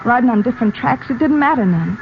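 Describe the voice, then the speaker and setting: A woman's voice: on the high side, lively intonation, fast. Anxious woman on muffled old radio. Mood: fearful, pleading. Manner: colloquial, emotional. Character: anxious, pleading woman, fretful and insistent. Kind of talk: old-time radio drama acting. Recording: muffled, faintly noisy vintage radio recording.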